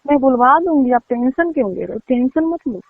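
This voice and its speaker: High-voiced woman on a tinny phone line. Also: expressive, a little fast. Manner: accusing, insistent, hurt. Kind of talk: emotional personal phone call. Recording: muffled narrowband phone-line call. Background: phone call recording.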